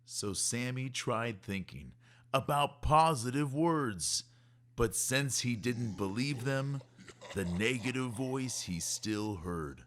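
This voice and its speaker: Expressive, unhurried man reading to kids. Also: mid-range voice. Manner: expressive, measured storytelling. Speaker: storyteller reading a children's book aloud. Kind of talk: rhyming scripted narration for kids.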